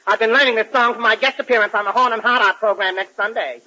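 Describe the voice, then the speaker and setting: An actor playing a hesitant, childish, dim stage performer in a 1940s radio comedy. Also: male voice, sits high, fast talker, bright, forceful tone, highs cut off. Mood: earnest, silly. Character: oversized dim childish performer rehearsing a nursery song. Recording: hissy 1940s radio broadcast.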